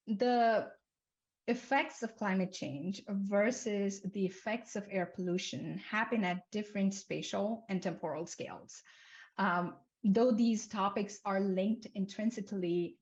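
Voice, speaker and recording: A young woman from Nepal giving an academic seminar talk, with a measured, list-like delivery and frequent fillers. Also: medium pitch, darkish tone. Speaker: seminar speaker, climate researcher. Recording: slightly dull lo-fi lecture audio.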